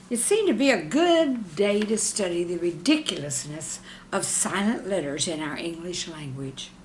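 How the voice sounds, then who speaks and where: Slow-talking woman telling classroom stories. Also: fairly low pitch, a little hiss or hum. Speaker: teacher reading own humorous classroom anecdotes. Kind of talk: scripted humorous narration.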